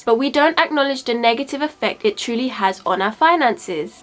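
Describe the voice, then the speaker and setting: A young woman with a blocked, sniffly nose, giving chatty, upbeat money advice straight to camera. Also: fairly high pitch. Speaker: finance vlogger. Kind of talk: casual talking-to-camera advice.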